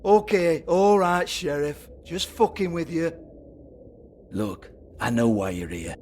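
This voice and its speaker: A foul-mouthed brothel boss. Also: male voice, a little high, lively intonation, takes it slowly, darkish tone, a little hiss or hum. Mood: taunting. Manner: swearing, berating, mocking. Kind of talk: video game cutscene acting.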